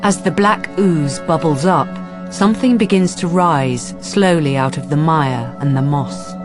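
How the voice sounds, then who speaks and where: A woman narrating a radio drama as a measured, serious storyteller. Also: sits low. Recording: radio narration over clear music.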